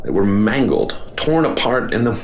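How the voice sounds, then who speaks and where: A middle-aged man plays a professor recounting an expedition in a radio drama. His delivery is deep, slow and grave. A faint hiss sits under the recording. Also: sing-song. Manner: measured, grave storytelling. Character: male professor leading an expedition, grave and measured. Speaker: narrator. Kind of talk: first-person radio drama narration.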